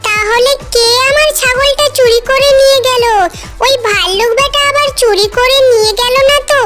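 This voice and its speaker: A performer voicing a small pleading fox in a children's cartoon story, exclaiming in a childlike, plaintive way over loud music. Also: very high-pitched, normal speed. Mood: dismayed. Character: small pleading fox who keeps a pet goat.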